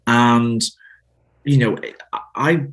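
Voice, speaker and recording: A man's voice: medium pitch, steady tone, very slow. A man from Northern Ireland with a Northern Irish accent, an author talking through his views in a casual interview, thoughtful and full of restarts. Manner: thoughtful, hesitant, frequent restarts. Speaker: author guest.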